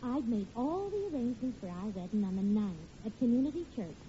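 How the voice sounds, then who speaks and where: A woman's voice: a little high, very animated, fairly quick, mellow. An actress playing a wry, patient middle-aged American mother in 1940s radio comedy style, telling a story conversationally. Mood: wry reminiscing. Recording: muffled, faintly noisy old radio recording.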